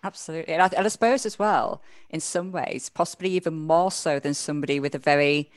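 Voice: mid-range voice, quick pace, no echo. A podcast host in a casual interview, speaking thoughtfully, hesitating and correcting themselves often. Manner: thoughtful, hesitant, many fillers and self-corrections.

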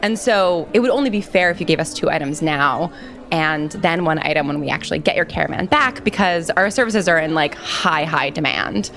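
A woman's voice: low voice, normal speed, a bit bright. A woman playing a tabletop roleplaying game, chatting and bargaining in a casual, informal way. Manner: informal, peppered with "like". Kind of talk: casual chat and in-character bargaining. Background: music.

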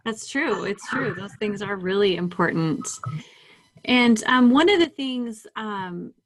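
A woman hosting a podcast, asking her guest questions in a friendly, slightly hesitant way. Her voice sits at an ordinary middle pitch. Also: animated, takes it slowly. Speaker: podcast host. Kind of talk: interviewing a guest.

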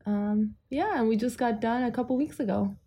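Calm woman with a gravelly edge. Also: on the high side, moderate pace. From Ethiopia. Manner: calm, matter-of-fact, brief answers. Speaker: podcast guest. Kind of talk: casual interview conversation.

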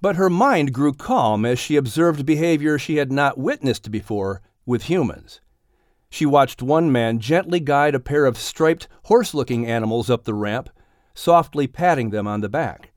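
A man narrating a children's audiobook story in an expressive, scripted storytelling style. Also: mid-range voice, normal speed, no echo, clean studio sound.

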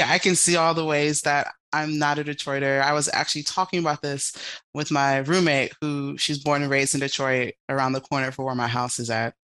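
A woman's voice: deep voice, fairly bright tone. A young woman from the Chicago area, answering interview questions as a podcast guest in an upbeat, playful way. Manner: upbeat, playful, laughs while talking. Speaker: guest, architectural designer.

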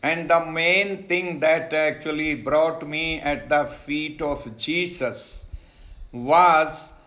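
A middle-aged Indian man whose first language is Hindi, telling his faith story slowly and deliberately, pausing on many "uh"s and repeating phrases. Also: on the high side, steady tone, sharp tone, reverberant, rough recording. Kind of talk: unhurried personal testimony. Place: ashram in India.

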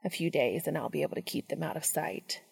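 Woman as a quiet, dejected, gravelly male angel. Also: deep voice, quick pace, pitched below the performer's own voice, full character voice, rough recording. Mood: dejected, ashamed. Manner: quiet, weary, withdrawn. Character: dejected, ashamed male angel with broken wings.

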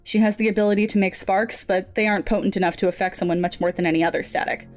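An actress playing a cool, businesslike lab supervisor in an audio drama, composed and formal. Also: female voice, medium pitch, fairly even intonation, quick pace, darkish tone, faint music behind, natural voice. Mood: clinical.

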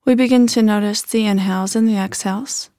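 A woman leading a guided body scan meditation in a gentle, unhurried manner with soft phrase endings. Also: mid-range voice, fairly even intonation, no room sound, studio quality.

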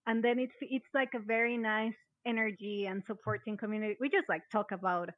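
A woman's voice: a little high, fairly even intonation, fairly quick, fairly bright tone, no echo, low-quality recording. A woman hosting a podcast in a casual, chatty way. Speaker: podcast host. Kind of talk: casual chat.

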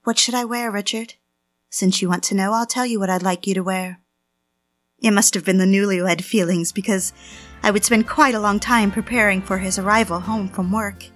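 An actress playing a yearning, insecure mistress in a melodramatic audio drama, intimate and confiding in manner. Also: female voice, mid-range voice, fairly even intonation, normal speed, fairly bright tone, faint music behind, natural voice. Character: yearning, jealous, insecure mistress narrating her affair. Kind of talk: melodramatic audio drama with first-person narration.

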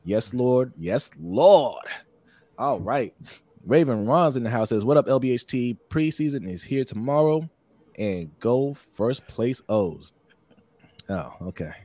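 A hoarse man hosting a casual live-streamed sports podcast, upbeat and repetitive, reading out viewer comments and giving shout-outs. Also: mid-range voice, lively intonation, measured pace, dry recording. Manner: upbeat, repeats phrases, many shout-outs. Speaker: podcast host.

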